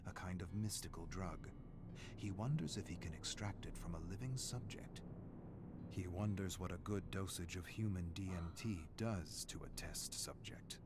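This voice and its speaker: A man playing a brooding, menacing narrator who tells a dark horror game story in a deliberate, dramatic way. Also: deep voice, noisy background. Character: brooding, menacing male narrator of a sadistic doctor's thoughts. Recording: music playing behind the voice.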